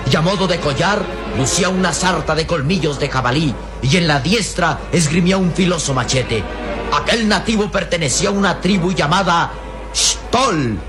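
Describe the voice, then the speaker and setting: A man's voice: fairly high pitch, normal speed. A man narrating a radio serial in a theatrical, suspenseful way. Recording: old radio serial with music underneath.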